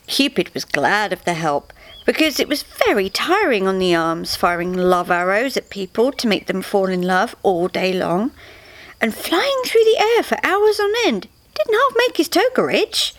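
Animated woman storyteller, highish voice. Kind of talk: expressive scripted comic story narration. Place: small theatre, live reading. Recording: faint noise, live theatre reading.

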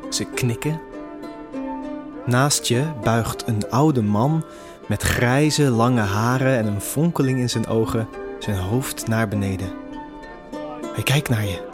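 A man's voice: mid-range voice, takes it slowly, pauses often. A man narrating an immersive audio drama in the second person, with a suspenseful storytelling manner. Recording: music behind the voice. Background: music.

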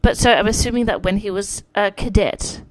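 A Scottish woman co-hosting a casual book chat, playful and quick to giggle. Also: medium pitch, steady tone, dry recording. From Scotland. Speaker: book podcast co-host.

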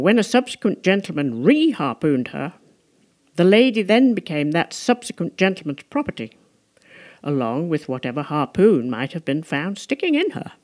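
A woman narrating a literary audiobook in a measured, rhetorical manner. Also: on the low side. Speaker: audiobook reader.

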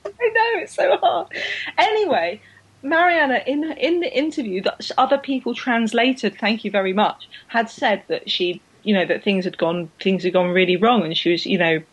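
A woman co-hosts a casual podcast about racing, her voice a little husky. She talks in quick, chatty bursts, breaks into laughter and restarts her sentences often. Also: mid-range voice, highs cut off. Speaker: podcast co-host. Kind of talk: casual chat about women's cycling.

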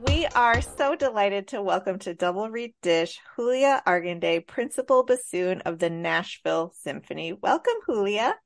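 A woman's voice: mid-range voice, expressive, normal speed, gravelly, hardly any pauses, soft background music. A woman hosting an interview podcast, reading introductions and putting questions to a guest.

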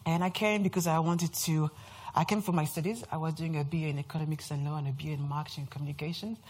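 A woman in her thirties with a French accent speaks to a live audience in an event room. Her voice sits a little low and has a husky edge. She talks in a chatty, self-mocking way, joking at her own expense as she presents. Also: steady tone, fast talker, a little mellow. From France. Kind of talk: lively presentation talk.

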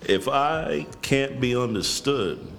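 Podcast guest: unhurried deep-voiced man, frank, swears freely. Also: expressive, gravelly, a bit bright, soft background music. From New York. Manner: direct, blunt, swears freely. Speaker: guest, counselor. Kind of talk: candid podcast conversation.

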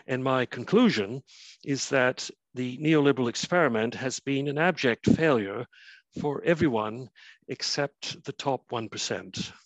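A Canadian man in his fifties, an author giving a prepared remote lecture, measured and full of uh and um fillers. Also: mid-range voice, no echo, rough recording. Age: 50s. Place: his flat, remote talk.

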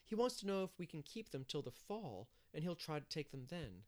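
A man voicing an earnest young woman schoolteacher in a storybook, eager, proper and gently firm. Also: on the high side, very animated, a little fast, voice pushed up. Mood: excited.

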